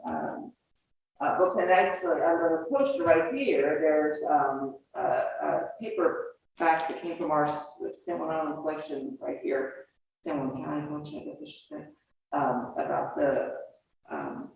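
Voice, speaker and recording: A woman's voice: sits low, animated, a little slow, vocal fry, muffled recording. A woman moderating a library event informally, heard from a distance in an echoey, noisy room. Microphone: distant room microphone.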